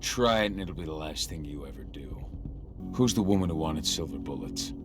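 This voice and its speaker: Low-voiced, threatening vigilante hero. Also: male voice, expressive, normal speed. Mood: menacing. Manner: blunt, commanding, intimidating. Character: gruff, threatening male vigilante hero, fiercely protective. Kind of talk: video game cutscene acting. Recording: music behind the voice.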